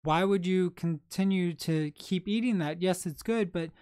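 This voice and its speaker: A young man hosting a late-night livestream, chatting in a soft, calm, unhurried way. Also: high voice, clean tone, dry recording, clean studio sound. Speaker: livestream host.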